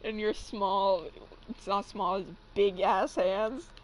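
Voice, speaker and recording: A woman's voice: medium pitch, steady tone, slow. A young adult woman chatting casually on a livestream in a blunt, teasing, sweary way. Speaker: livestream co-host and fan fiction reader. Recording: noisy amateur livestream microphone.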